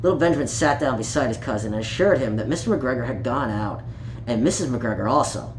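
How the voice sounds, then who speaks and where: Someone reading a kids story, super animated n playful, echoey room w a bit of noise. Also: medium pitch, quick pace. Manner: playful, showing the pictures, stumbles over words while reading.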